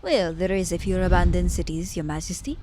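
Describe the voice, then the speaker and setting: A woman's voice: fairly low pitch, lively intonation, fairly quick, noisy background, lightly acted. A woman playing a clever, teasing immortal witch in an audio roleplay, with a playful, mock-courteous manner. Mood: wistful storytelling.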